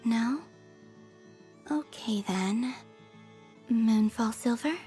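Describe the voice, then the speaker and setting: A gentle, curious young goddess from a video game. Her high voice moves slowly and politely, with hesitant pauses over soft music. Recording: music under the voice.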